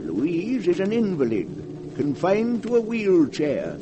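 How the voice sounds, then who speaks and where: A man's voice: sits high, wildly swooping pitch, slow pace, noisy background, heavily acted. A man narrating a 1940s radio drama in a theatrical style. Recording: muffled vintage radio recording, loud music.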